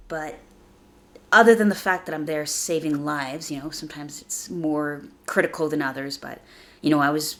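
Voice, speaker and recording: Low, creaky woman podcaster with a cold. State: slightly raspy from a chest cold. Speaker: podcast host. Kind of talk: casual motivational podcast monologue.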